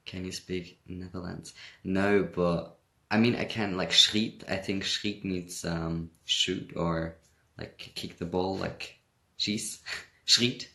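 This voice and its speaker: A man's voice: low voice, steady tone, slow pace, vocal fry, bright, forceful tone. A young man from Hamburg with a German accent, chatting casually on a livestream, reading viewer comments and laughing often. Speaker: livestream host.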